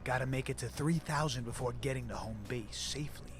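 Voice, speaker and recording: A man's voice: mid-range voice, fairly quick, natural voice. Man acting a cocky, taunting gang thug, music behind. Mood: callous, goading. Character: sneering male gang thug running a deadly street game. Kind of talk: video game character acting.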